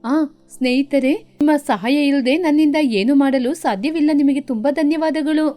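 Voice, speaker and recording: Woman doing a cartoon mother bird, very high voice, earnest, expressive, worried but kindly, background music. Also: moderate pace, lightly acted. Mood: grateful, warm. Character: worried, good-hearted mother bird who loves cooking. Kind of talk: animated children's moral story.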